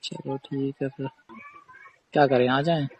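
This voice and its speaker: A man's voice: medium pitch, level tone, a little slow, darkish tone. A man talking casually in a recorded phone call. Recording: faint background noise on call recording.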